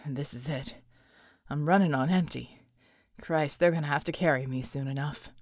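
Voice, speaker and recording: A gruff, battle-weary male soldier from an action audiobook, voiced by a woman. The voice is pushed low and kept terse, with a very dry sound. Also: moderate pace, a little mellow, no room sound. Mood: weary, grim.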